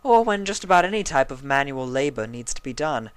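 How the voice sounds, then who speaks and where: A woman's voice: rumbling low, very animated, a little fast, clean tone, no room sound, pitched above the performer's own voice. A woman voicing an elegant, haughty female cartoon pony fashionista with affected, dramatic, refined diction. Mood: airy disdain.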